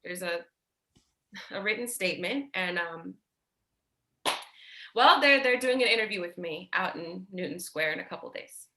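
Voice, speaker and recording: An actress playing a tired, protective, foul-mouthed older sister in a naturalistic staged play reading, hesitant, guarded and blunt. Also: female voice, on the low side, animated, normal speed, pauses often, pushed and bright, roomy sound, slight background noise. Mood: hesitant, worried.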